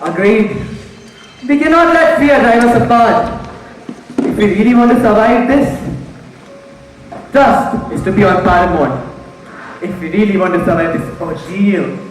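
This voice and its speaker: A performer playing a composed, steadying leader of frightened survivors in an amateur stage play, with deliberate, declamatory delivery. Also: mid-range voice, animated, soft background music, natural voice. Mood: reassuring. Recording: very echoey hall, recorded from far off.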